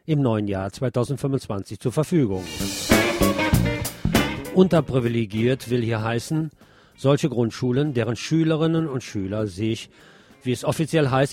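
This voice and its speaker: A man presents scripted news on the radio in a deliberate, emphatic manner. His voice sits at a medium pitch, with wry commentary worked into the reading. Faint guitar music plays behind him. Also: darkish tone.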